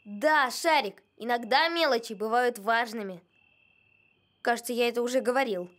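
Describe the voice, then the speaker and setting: A woman plays a caring young cartoon boy with a high voice. He sounds earnest and sensible, taking charge as he explains things. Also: moderate pace, a bit bright, lightly acted. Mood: caring, explanatory. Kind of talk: animated cartoon acting.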